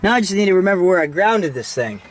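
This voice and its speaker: A man's voice: sits high, very animated, quick pace. A man giving a casual, informal hands-on how-to narration as a DIY car vlogger while he works inside a vehicle. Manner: informal, talking while working with his hands. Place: inside a Jeep, handheld camera.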